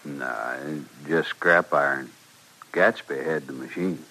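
Dying frontier shopkeeper, western American, weak, plain and resigned, low husky voice, old radio drama sound. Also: male voice, slow pace, pushed and bright, exaggerated voice. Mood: bitter, resigned. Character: bitter, dying frontier storekeeper, a man. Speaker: radio actor. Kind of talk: 1950s radio western acting. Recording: vintage radio recording.

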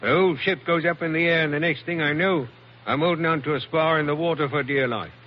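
An actor playing a rough, plain-spoken sailor with colloquial grammar in a 1940s radio drama. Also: male voice, a little high, fast talker, lightly acted. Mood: matter-of-fact recounting. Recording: muffled vintage radio recording, faint noise.

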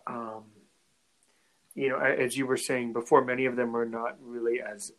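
Halting North American male podcast host. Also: medium pitch, little pitch movement, fairly bright tone, rough recording. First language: English; French is his second language. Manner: halting, often repeats words before finishing a thought. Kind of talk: conversational interview.

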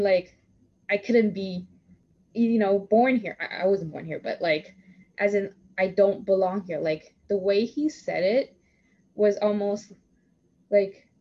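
Young woman, rambling and chatty. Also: medium pitch. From Toronto, Canada. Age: young adult. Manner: talks in long runs heavy with "like" and restarts. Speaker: podcast host. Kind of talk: casual conversational interview. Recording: slightly muffled lo-fi recording.

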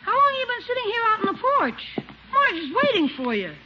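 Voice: extremely high pitch, very animated, fairly quick. An actor playing a cheeky, wisecracking young boy with blunt, slangy talk in a 1950s radio comedy. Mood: needling. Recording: muffled, noisy vintage radio recording.

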